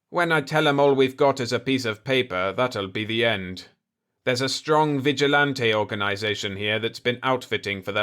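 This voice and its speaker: A man voicing an indignant, gruff chief of state who complains combatively in a sci-fi audiobook. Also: mid-range voice, fairly bright tone, natural voice. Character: indignant, blustering cigar-chewing planetary chief of state, male.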